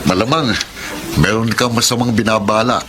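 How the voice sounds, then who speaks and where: A man's voice: fairly low pitch, steady tone, normal speed, natural voice. A man playing a lovelorn younger brother who drinks, in earnest, florid Filipino radio romance drama style. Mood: needling. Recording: loud music under the voice.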